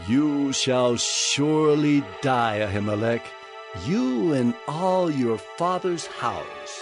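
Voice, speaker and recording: A man's voice: medium pitch, expressive, takes it slowly, over music. A man playing a wrathful, imperious king in a dramatized Bible, drawing out his words in declamation. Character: wrathful, accusing king of ancient Israel. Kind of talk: dramatized Bible audio acting.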